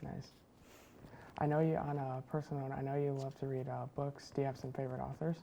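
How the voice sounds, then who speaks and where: A young woman student journalist, speaking softly in a casual interview and letting her phrases trail off quietly. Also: rumbling low, flat delivery, fairly quick, vocal fry, dull audio, a little hiss or hum. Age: young adult. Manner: soft-spoken, trailing off quietly.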